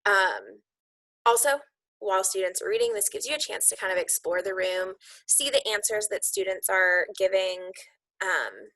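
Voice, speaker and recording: A woman's voice: medium pitch, lively intonation, moderate pace, fairly bright tone, low-quality recording. A woman giving an informal, conversational instructional walkthrough, explaining things as a teacher would to colleagues. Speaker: teacher coach explaining lesson plans to colleagues.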